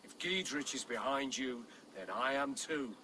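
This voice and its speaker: An actor playing a pious, vengeful medieval Saxon nobleman in a video game, declaiming forcefully. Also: medium pitch, a little slow, a little hiss or hum, rough recording. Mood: resolute.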